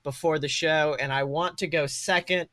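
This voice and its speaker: A podcast co-host chatting casually in an animated, sweary way. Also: medium pitch, moderate pace, no echo, studio quality.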